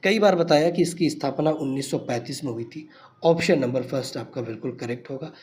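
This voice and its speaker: A man's voice: medium pitch, brisk, darkish tone. A man teaching exam questions, speaking emphatically and repeating key points as he addresses students familiarly. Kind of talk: quiz lesson explanation for exam students.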